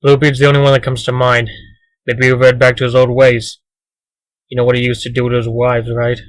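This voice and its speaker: A man's voice: mid-range voice, completely flat delivery, fairly quick, no room sound, lightly acted, low-quality recording. A man playing a cocky, defensive trickster under questioning, glib and self-justifying, in a comic dub. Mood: evasive. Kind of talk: comic dub dramatic acting.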